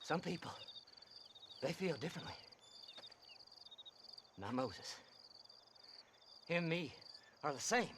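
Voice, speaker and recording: An actor voicing a half-mad, greedy, filthy outlaw treasure hunter with an American accent and a manic, rambling delivery in a western video game. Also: male voice, a little high, expressive, takes it slowly, pauses often, fairly bright tone, a little hiss or hum. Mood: scheming, excitable. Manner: manic, rambling, erratic swings. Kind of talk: video game character acting.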